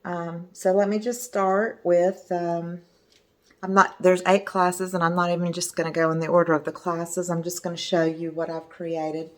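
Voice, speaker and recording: A middle-aged woman with a hoarse voice, chatting casually as a resin art teacher while showing her work at home. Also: fairly low pitch, flat delivery, normal speed. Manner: chatty, enthusiastic, meandering. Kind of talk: casual video talk showing artwork.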